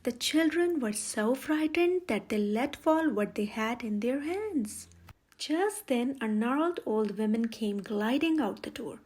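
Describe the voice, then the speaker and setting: A woman with a high-pitched voice narrates a fairy tale for children. She reads it aloud as a storyteller would from a picture book. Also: animated, unhurried, darkish tone, breathy edge. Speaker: storyteller, channel host.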